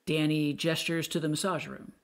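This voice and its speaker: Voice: mid-range voice, little pitch movement, moderate pace. A narrator reading scripted stage directions for an audio play in plain descriptive sentences.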